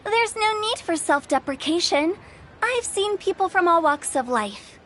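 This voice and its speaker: A woman's voice: very high-pitched, lively intonation, unhurried, fairly bright tone, a little hiss or hum, exaggerated voice. An actress playing a playful, eccentric young woman with a theatrical, cheeky manner in a video game. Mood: playful, confident. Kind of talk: video game character acting.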